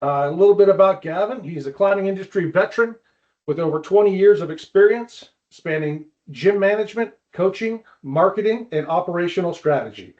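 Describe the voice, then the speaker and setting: A man hosting a podcast in a casual interview style, with a halting delivery that often repeats words. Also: high-pitched, a little mellow, highs cut off. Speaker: podcast host.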